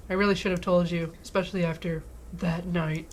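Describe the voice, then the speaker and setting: Lower-pitched woman co-streaming a game, noisy background. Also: level tone, a little fast. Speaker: co-streamer voicing lines and commenting on the game. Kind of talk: casual chat during a live game stream. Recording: clearly audible background noise.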